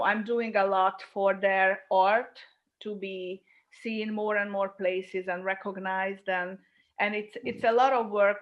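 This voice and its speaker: A woman's voice: mid-range voice, highs cut off. A woman, a filmmaker guest answering interview questions reflectively, with many fillers. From Hungary.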